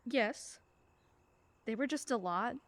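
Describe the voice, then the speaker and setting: A woman playing a single mother in a radio play, teasing and gently probing her daughter. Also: a little high, slow pace, no echo.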